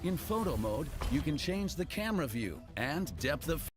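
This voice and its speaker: A man's voice: a little high, big pitch swings, moderate pace. A man presenting features in a scripted promotional narration. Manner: promotional, smoothly paced presentation. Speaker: showcase presenter. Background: music playing.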